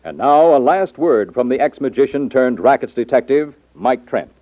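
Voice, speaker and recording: A tinny, dry old radio recording with faint music behind a male announcer. He speaks at a medium pitch in formal fifties broadcast style. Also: expressive, normal speed, no echo.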